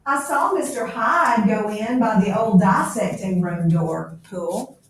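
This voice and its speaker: A woman voicing a formal, inquisitive gentleman lawyer from a gothic storybook, asking courteous questions. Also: medium pitch, measured pace, natural voice. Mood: polite, probing. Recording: echoey room, distant mic, faint noise.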